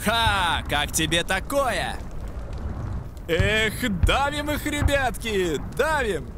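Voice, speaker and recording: An actor playing a bold, hearty Cossack soldier in a video game, calling out rousing, folksy battle barks. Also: male voice, high-pitched, animated, very slow, noisy background, heavily acted. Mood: rousing, fierce.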